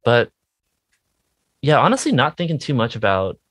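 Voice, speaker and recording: A man's voice: mid-range voice, huge pitch swings, normal speed, a bit bright, no room sound, clean studio sound. A man speaking as a musician guest in a casual interview, hesitant and self-deprecating in how he talks. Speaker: musician guest, indie rock songwriter.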